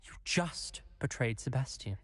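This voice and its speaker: Long reverb surrounds a haughty British schoolboy wizard in a video game. His voice is mid-pitched and husky. He sounds suspicious and accusing, with a threatening edge. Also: lively intonation, a little slow. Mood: angry, threatening. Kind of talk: scripted video game dialogue.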